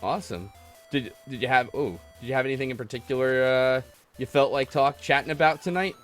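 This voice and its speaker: Man chatting casually over loud game music. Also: medium pitch, a little fast. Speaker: host of a gaming livestream podcast taking callers. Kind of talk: casual chat while playing a game. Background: retro video game music.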